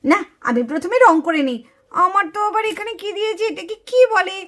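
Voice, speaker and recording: A woman's voice: extremely high pitch, animated, brisk, fairly bright tone. A woman voicing an earnest, puzzled little girl doll who hesitates and hums while thinking aloud in a puppet story. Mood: puzzled, musing. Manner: hesitant, humming, talking to herself.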